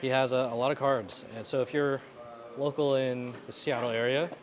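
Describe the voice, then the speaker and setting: A man's voice: mid-range voice, fairly even intonation, moderate pace, a crowd in the background. A man vlogging about trading cards away from home, talking to camera in a chatty, laid-back way and laughing easily. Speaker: card-collecting vlogger. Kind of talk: casual vlog talk to camera.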